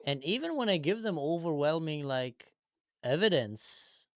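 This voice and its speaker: A man's voice: a little high, big pitch swings, a little slow, dry recording, clean studio sound. A man from Israel, a guest in a casual chat, reacting often with interjections of amazement.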